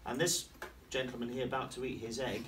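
A middle-aged headmaster reading a picture book aloud in a classroom, playfully asking the children questions and slowing down on the rhymes. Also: male voice, mid-range voice, little pitch movement. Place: primary school classroom, indoors. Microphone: camera across the room. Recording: distant camera, noisy classroom.

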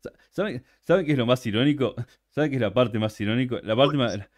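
A man's voice: mid-range voice, a little fast, no echo, studio quality. A young Argentine man who is a guest on a livestream interview, chatting casually and animatedly.

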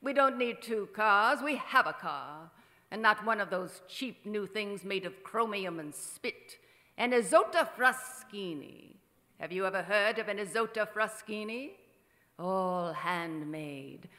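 A vain, faded movie star speaks with grand, haughty theatricality. The voice is sharp and bright, ringing through a reverberant theater as in an old radio play. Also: female voice, mid-range voice, lively intonation, a little slow. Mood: haughty, dismissive. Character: imperious, vain, faded silent-film star woman. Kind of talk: old-time radio drama acting. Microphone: vintage-style stand microphone. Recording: live theater stage, long hall reverb.

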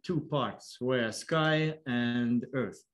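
A Lithuanian man presenting his observatory's work on a video call, picking his way through a foreign language at an ordinary pitch. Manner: halting, non-fluent English. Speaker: observatory worker giving a lecture. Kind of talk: explanatory online lecture. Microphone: online video call.

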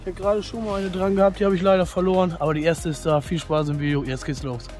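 A man's voice: high voice, little pitch movement, quick pace, a little mellow, soft background music. A man hosting a fishing vlog, chatting casually and colloquially to his viewers as friends.

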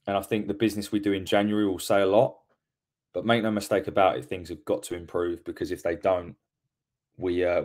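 A man's voice: low voice, darkish tone. A man, hosting a solo post-match football reaction podcast from home on a webcam, giving frank opinions and often repeating words as he corrects himself. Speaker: podcast host.

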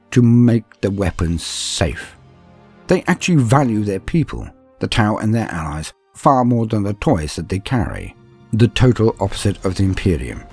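Husky, low-voiced man narrating theatrically. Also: darkish tone. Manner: theatrical, florid phrasing with drawn-out dramatic emphasis. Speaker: host and narrator of a tabletop wargame lore show. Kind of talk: scripted narration, video essay. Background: background music.

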